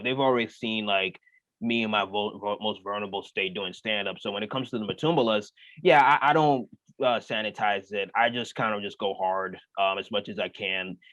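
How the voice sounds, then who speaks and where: A man's voice: fairly low pitch, dry recording. A young man, a comedian, answering interview questions casually with many "uh"s and "you know"s. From United States. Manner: relaxed, lots of fillers like "uh" and "you know". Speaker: comedian guest. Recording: muffled dry remote audio.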